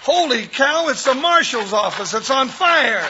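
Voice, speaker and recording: Bright squeaky-high american cowboy sidekick, folksy n anxious, old noisy radio western. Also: male voice, animated, measured pace, dull audio, exaggerated voice. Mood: alarmed, urgent. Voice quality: high-pitched. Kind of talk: 1950s Western radio acting.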